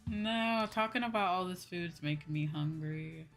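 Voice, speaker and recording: A woman hosting a casual livestream, chatting with viewers in a drawn-out, exclamatory way and often repeating her phrases. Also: lowish voice, faint music behind. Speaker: livestream host.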